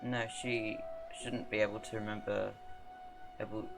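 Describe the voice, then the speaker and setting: A woman's voice: very deep voice, little pitch movement, a little slow, creaky, rough recording. A woman streaming a game, giving casual, offhand muttered commentary. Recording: live stream, loud game music behind.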